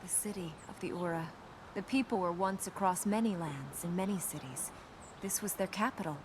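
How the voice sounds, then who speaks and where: An actress playing a solemn, resolute young princess in a video game, speaking in an earnest, formal way. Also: female voice, on the low side, moderate pace, close to an everyday voice. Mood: solemn, reverent. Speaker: video game companion character. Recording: game audio over clear ambient noise.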